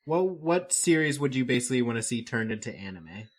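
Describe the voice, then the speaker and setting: A man's voice: medium pitch, sing-song, fairly quick. A man co-hosting a podcast, chatting casually with friends. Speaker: podcast co-host. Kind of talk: casual banter among friends.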